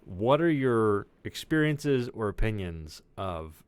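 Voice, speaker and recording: Low-voiced man, informal rambling co-host. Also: very animated, takes it slowly, clean tone, no room sound. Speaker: co-host putting questions to a guest. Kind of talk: casual livestream chat. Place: indoors, on a group video call.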